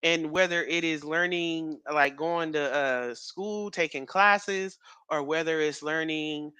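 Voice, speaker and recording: Bright-voiced man in his thirties. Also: a little high, smooth, no echo. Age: 30s. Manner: rambling, with fillers and quick laughs. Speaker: podcast co-host. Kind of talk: casual podcast chat.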